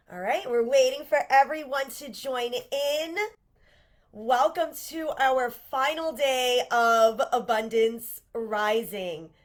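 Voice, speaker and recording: A congested woman with a cold, leading a live-streamed manifestation workshop in an earnest, encouraging way and often restarting her sentences. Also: on the high side. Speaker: manifestation coach hosting a livestream workshop. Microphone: livestream camera mic.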